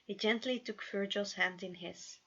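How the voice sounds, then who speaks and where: Bright-voiced woman reading a story. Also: medium pitch, fairly even intonation, normal speed, husky, dull audio. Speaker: amateur story reader. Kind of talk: scripted fanfiction narration. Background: no background atmosphere.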